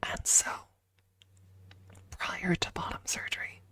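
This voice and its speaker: A woman streaming ASMR, chatting casually and haltingly in a soft, breathy voice that often drops into a whisper, close to the microphone. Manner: halting, informal, drifting between whisper and soft talk.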